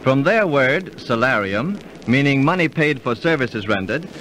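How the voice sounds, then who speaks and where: An actor playing a knowing storyteller who explains things formally in 1930s radio style. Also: male voice, mid-range voice, expressive, unhurried, a bit bright. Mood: formal, instructive. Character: knowing, instructive male storyteller and dining companion. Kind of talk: 1930s radio narration and acting. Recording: slightly noisy 1930s radio recording.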